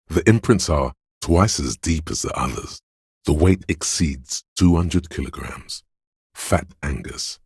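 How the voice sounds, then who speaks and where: Detective's inner voice, game narration, rock-bottom bass, very husky grain, grave, exacting, deliberate, clinical. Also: male voice, darkish tone, dry recording, clean studio sound, exaggerated voice. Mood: clinical, focused. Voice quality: very deep, resonant bass. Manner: deliberate, clinical, measured, dryly judging. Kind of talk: dramatic second-person game narration.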